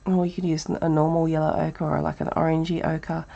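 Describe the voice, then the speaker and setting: Croaky, breathy woman teaching drawing. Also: low-pitched, a little fast, lo-fi sound. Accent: Australian. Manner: relaxed, thinking aloud with many ums. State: croaky, breathy voice from a chest cold. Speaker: art teacher. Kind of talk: live drawing tutorial.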